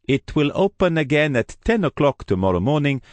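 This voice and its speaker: A man's voice: a little high, very animated, moderate pace, dry recording, pitched above the performer's own voice. Man voicing a nervous old priest. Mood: polite. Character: nervous elderly Italian male priest.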